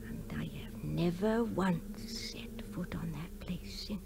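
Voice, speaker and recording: An actress playing an old granny telling spooky tales in a radio drama, with a hushed, halting delivery that swells dramatically. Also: female voice, fairly low pitch, vocal fry, whispered. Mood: hushed, eerie. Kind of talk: 1960s radio drama storytelling. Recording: noisy 1960s radio broadcast recording.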